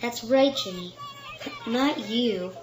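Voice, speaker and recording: An actor in a radio drama playing an eerily calm little boy who speaks with the knowing, deliberate manner of a grown man. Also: mid-range voice, a little hiss or hum, lightly acted. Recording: roomy echo under prominent music.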